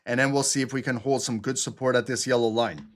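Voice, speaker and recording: A man hosting a live trading stream, walking viewers through chart setups in a teaching manner, checking in with "okay?" and "right?" and often pausing on fillers. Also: medium pitch, steady tone. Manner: explanatory, repeats "okay?" and "right?", frequent fillers. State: throat clearing, choking on water. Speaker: trading channel host. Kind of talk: live market analysis walkthrough.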